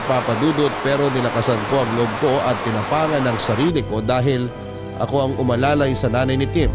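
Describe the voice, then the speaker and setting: Solemn male storyteller over loud music. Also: mid-range voice. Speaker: storyteller host reading a listener's letter. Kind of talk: dramatic scripted narration. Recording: loud music bed behind voice.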